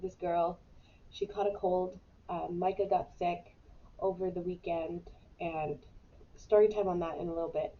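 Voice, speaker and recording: A woman's voice: lowish voice, fairly even intonation, measured pace, dull audio, slight background noise. Congested woman chatting on a live stream. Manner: chatty, rambling, with fillers. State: congested with a cold. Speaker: host of a weekly live business show. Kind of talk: casual live-stream chat.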